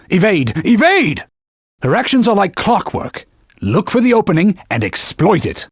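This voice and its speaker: A man's voice: high-pitched, dry recording, exaggerated voice. A man voicing a talking holy sword, a stern old knightly mentor who speaks formally and barks urgent warnings in a game. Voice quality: husky, formal, commanding. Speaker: video game character. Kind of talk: game voice clips. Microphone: studio mic. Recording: dry studio recording.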